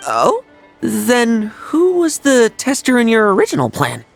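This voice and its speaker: A man's voice: very high-pitched, huge pitch swings, unhurried, vocal fry, full character voice. A cocky young knight in a video game, boastful and restless. His mid-range voice rises and falls a great deal, full of swagger, with faint music behind it. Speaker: knight vice captain.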